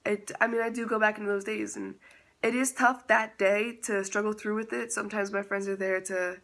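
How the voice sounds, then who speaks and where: A man's voice: high voice, flat delivery, a little fast, a bit bright. A man chatting casually in a vlog update, self-teasing and laughing often, with a stuffy nose from a slight cold. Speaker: vlogger.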